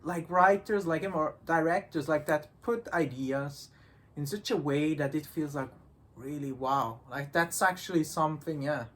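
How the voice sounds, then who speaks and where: A man's voice: a little high, moderate pace, fairly bright tone. A man in a casual discussion, talking in a hesitant, rambling way with non-native English phrasing. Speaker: visual novel reviewer in a discussion. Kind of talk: casual chat.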